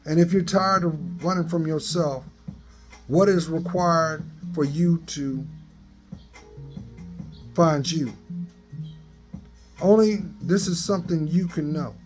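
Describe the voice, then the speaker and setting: A man in his fifties with a dry voice, giving a slow, earnest motivational talk into a phone in a garage. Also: on the high side, music in the background. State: dry voice, coughing. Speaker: vlogger. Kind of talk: motivational selfie vlog. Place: open garage, daytime. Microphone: phone at arm's length.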